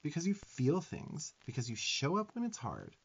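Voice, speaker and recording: Sassy young demon twink, acted by a man, swooping pitch. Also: huge pitch swings, moderate pace, slightly rough, dull audio, exaggerated voice. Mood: earnest, affectionate. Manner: sassy, emphatic, profane tough-love delivery. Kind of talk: ASMR affirmation roleplay.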